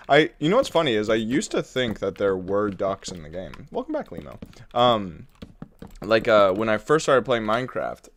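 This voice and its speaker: A man live-streaming a building game, chatting in a playful, rambling way with frequent chuckles, sounding congested. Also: medium pitch. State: congested, runny nose. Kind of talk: casual live-stream chat while building.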